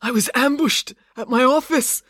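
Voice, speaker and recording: Woman putting on a gasping old woman professor, injured spy, urgent, breathless, raised pitch, children's audiobook. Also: moderate pace, a bit bright, no room sound, clean studio sound. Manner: breathless, labouring to get words out.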